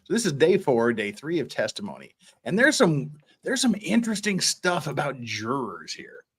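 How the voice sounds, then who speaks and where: Man hosting, with widely swinging pitch. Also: very animated, moderate pace, fairly bright tone, husky. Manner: rambling, stammering repeats while thinking aloud. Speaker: host of an online show. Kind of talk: casual banter on a livestream.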